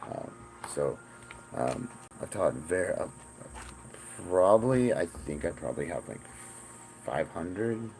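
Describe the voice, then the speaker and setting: A man's voice: deep voice, animated, slow, pauses often, noisy background. A man in his forties talking in a rambling, profane monologue, often repeating himself. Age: 40s. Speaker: self-styled spiritual teacher.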